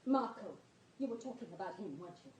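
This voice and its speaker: A woman's voice: a little high, lively intonation, fast talker, darkish tone, slight background noise, lightly acted. An actress playing a distraught, jealous, hysterical woman in a radio drama, emotional and accusing. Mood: anxious, probing.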